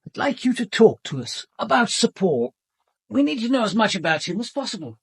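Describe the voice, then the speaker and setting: An actor with an English accent playing a composed, businesslike police commander, narrating and questioning directly in a radio drama. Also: male voice, high voice, very animated, a little fast, gravelly, fairly bright tone, husky, no room sound, heavily acted.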